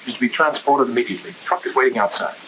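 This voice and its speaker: Actor as a fast, curt communist officer. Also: male voice, medium pitch, fairly bright tone, lo-fi sound, close to an everyday voice. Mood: curt, commanding. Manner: clipped, officious. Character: curt, unyielding communist youth league officer. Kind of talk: 1960s propaganda film acting. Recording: hissy old film soundtrack.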